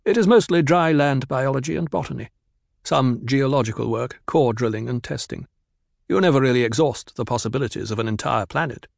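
A man's voice: fairly quick, creaky, no room sound, studio quality. A man reading an audiobook, voicing a stiff, guarded male planetologist who speaks curtly and coldly. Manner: clipped and cold, raised pitch. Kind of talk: audiobook character voice.

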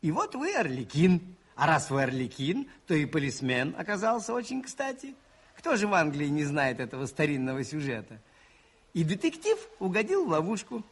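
Husky priest sleuth in an old soviet radio play, teasing and ironic, voice swings up and down. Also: male voice, on the high side, moderate pace, exaggerated voice. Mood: wry, admiring. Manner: musing, ironic, expressive and conversational.

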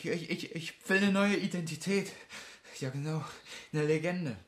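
An actor playing a scared but defiant young informant in a radio drama, stammering with whiny bravado. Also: male voice, a little high, moderate pace, a bit bright, close to an everyday voice. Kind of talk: German radio drama acting.

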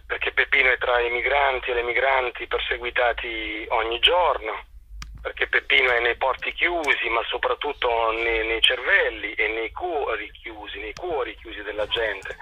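Husky man on a dull phone line. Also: medium pitch, no room sound. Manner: rhetorical, insistent repetition, halting phrasing. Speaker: journalist and stage narrator, interview guest. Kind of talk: impassioned phone interview about a stage show.

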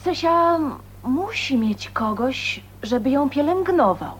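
Earnest young woman, high voice. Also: animated, slow. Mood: concerned, pleading. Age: young adult. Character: gentle, earnest unmarried woman of twenty-nine. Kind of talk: radio drama acting.

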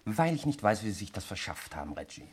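A man's voice: fairly low pitch, sing-song, fast talker, husky, heavily acted. An actor playing a sardonic, menacing nephew in a 1960s radio drama, needling and commanding as he holds a suspect at gunpoint. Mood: sardonic, suspicious. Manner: mocking, commanding, needling address.

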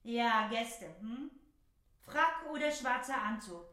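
An actress playing a cool, brazen housemaid in a radio drama, languid and coquettish, in an echoing room. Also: female voice, fairly high pitch, lively intonation, slow, a bit bright. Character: cool, brazen young housemaid of a mysterious gentleman. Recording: roomy, echoing sound.

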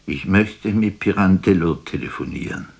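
An actor playing a melancholy, self-doubting stage player in a radio drama, speaking in a declamatory, poetic way that moves between murmur and outcry. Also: male voice, low-pitched, moderate pace, vocal fry, darkish tone, slightly rough, dry recording, heavily acted. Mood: pensive, yearning. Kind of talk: theatrical radio drama monologue.

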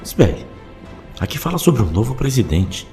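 A man playing a wary, learned alien former professor in an audio drama, explaining things gravely. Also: medium pitch, very animated, normal speed, a little mellow, natural voice. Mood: troubled. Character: learned, wary male Time Lord, reclusive former professor. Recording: faint music under the voice.

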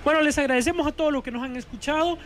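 High-pitched Honduran male radio host. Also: racing, a bit bright. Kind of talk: casual, chatty film talk. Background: background music.

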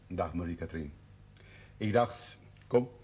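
An actor playing a mild, patient man shopping, gently moralising in a television period drama. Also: low-pitched, lively intonation, slow. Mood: hesitant. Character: mild, gently moralising male shop customer.

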